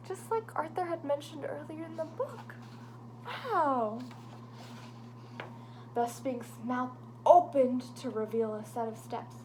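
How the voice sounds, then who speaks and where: A fairly high-voiced woman reads a picture book aloud to children, keeping her voice animated and dropping into hushed whispers at the suspenseful moments. She breaks off for friendly asides to her young listeners, and a faint hum sits behind her. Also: poor audio. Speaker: librarian reading to children.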